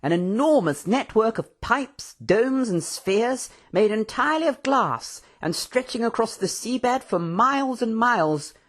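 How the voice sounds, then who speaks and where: Storybook professor: high, swooping voice, pompous, eager, talkative, genteel old-fashioned phrasing. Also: male voice, wildly swooping pitch, moderate pace. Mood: animated, indignant.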